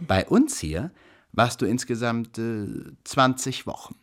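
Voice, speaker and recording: An actor playing a smug, patronising prison director in a radio play, pedantic and full of hesitant ers. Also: male voice, medium pitch, animated, background noise, close to an everyday voice. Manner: hesitates with drawn-out ers while reckoning, pedantic. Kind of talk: German radio play acting.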